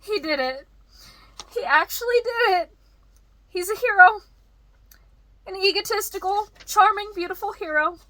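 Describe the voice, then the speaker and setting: A woman's voice: very high voice, unhurried, long pauses, bright, forceful tone, dry recording, heavily acted, poor audio. A woman playing a perky, emotional young crew member in a sci-fi comedy audio drama. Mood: awed, grieving.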